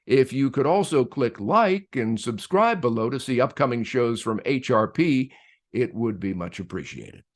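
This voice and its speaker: Lively-pitched male announcer, formal delivery. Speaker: presenter. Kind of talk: scripted introduction narration.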